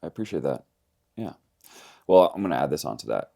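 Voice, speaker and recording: A man's voice: a bass voice, big pitch swings, fairly quick, creaky, dark tone. A man coaching at a gym, casually interviewing a member with friendly, teasing questions.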